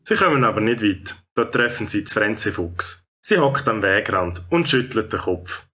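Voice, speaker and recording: A man's voice: fairly low pitch, lively intonation, moderate pace, bright, forceful tone, soft background music. A man with a Swiss German accent narrating a scripted children's story.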